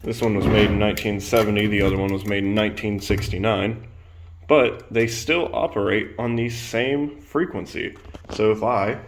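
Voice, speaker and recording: A man's voice: on the low side, fairly even intonation, normal speed. A man giving a casual product demonstration, explaining things in a plain-spoken way as a reviewer. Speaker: presenter reviewing radio gear. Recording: clear background noise.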